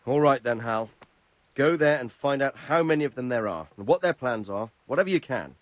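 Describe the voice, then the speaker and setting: A man's voice: mid-range voice, expressive, dry recording, lightly acted. An actor playing a cautious, commanding male lord in a video game. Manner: measured, commanding.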